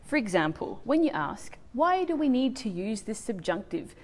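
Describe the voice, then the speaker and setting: A woman's voice: on the high side, big pitch swings, normal speed, darkish tone. A woman who is a native English speaker, teaching as a non-native language teacher in an emphatic, scripted lesson delivered to viewers.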